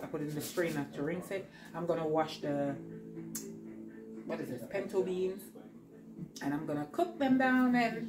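A woman's voice: low-pitched, echoey room. A middle-aged woman vlogging casually from her home kitchen, chatty and rambling as she talks to her viewers while she cooks. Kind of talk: casual cooking vlog. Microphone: camera on the counter.

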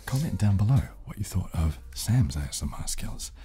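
Male ASMR host, thirties, extremely low and mellow, half-whispered. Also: big pitch swings, normal speed, soft background music. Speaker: channel host. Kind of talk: soft-spoken ASMR chat. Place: small room, indoors. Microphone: close studio condenser microphone.

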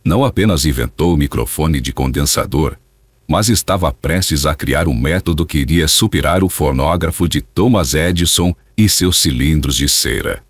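A man's voice: rumbling low, moderate pace, no room sound. A man reading scripted radio drama narration in a formal, dramatic storytelling manner.

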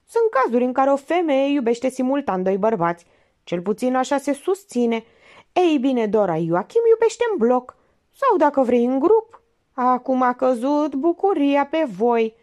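A woman's voice: high voice, big pitch swings, darkish tone, pitched above the performer's own voice. Woman reading as a sarcastic older lady sleuth. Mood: mocking. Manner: mocking, needling, pointed. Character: sharp sarcastic older female amateur detective.

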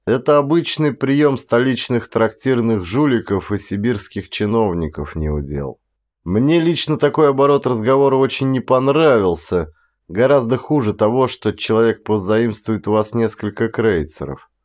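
A man narrating a literary audiobook as an actor, with expressive delivery. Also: mid-range voice, normal speed.

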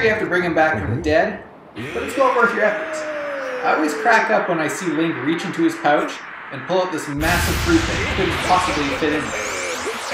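A man reading scripted countdown commentary as a video narrator. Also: a little high. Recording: roomy echo, music bed. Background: background music.

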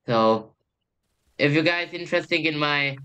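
Bright-voiced young man rambling over gameplay. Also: fairly high pitch, measured pace, smooth. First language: Thai. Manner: rambling, hesitant, trails off with "I guess". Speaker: youtuber. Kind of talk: casual gameplay commentary.

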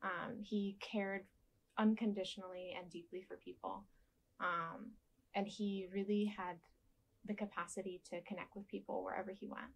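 A young woman with a soft voice giving gentle, thoughtful interview answers with frequent ums and restarts, seated in a dark studio. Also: medium pitch, flat delivery, normal speed, creaky, rough recording.

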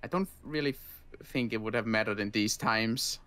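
A man playing a tired, injured survivor in a zombie game roleplay, speaking in a halting, dry, curt way. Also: fairly low pitch, bright, forceful tone, smooth. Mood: weary, grudging. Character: weary, grudging injured male survivor of a zombie apocalypse. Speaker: roleplay player.

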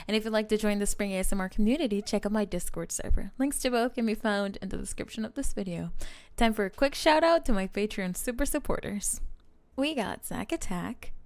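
A woman's voice: mid-range voice, no echo. A woman speaking as an ASMR channel host, giving a scripted outro to viewers. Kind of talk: scripted channel outro and supporter shout-outs.

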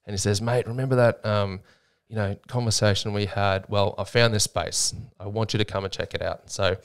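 A man's voice: fairly low pitch, steady tone, a little fast, clear, resonant tone. An Australian man in his thirties telling his story in a casual interview, chatty with lots of fillers and laughs, on a studio microphone. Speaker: gym co-owner, guest. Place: small podcast studio. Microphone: studio mic with headphones.

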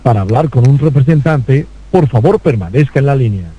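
Mellow, lively-pitched man reading phone menus. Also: a little fast, low-quality recording. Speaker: automated phone menu announcer. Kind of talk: scripted phone menu recording. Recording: telephone recording with faint noise.

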